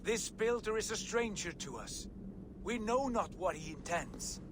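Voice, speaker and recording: Tyr, resolute loyal war god. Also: male voice, sits high, animated, unhurried, background noise. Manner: earnest, resolute. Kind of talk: video game cutscene acting.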